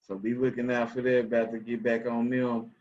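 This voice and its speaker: A man's voice: mid-range voice, level tone, a little fast, darkish tone. A man in his twenties with a Memphis Southern accent, chatting casually and supportively as a podcast guest over a call. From Memphis, United States. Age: 20s. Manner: agreeable, supportive, slangy. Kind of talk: casual chat. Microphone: remote call. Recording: dull lo-fi call audio.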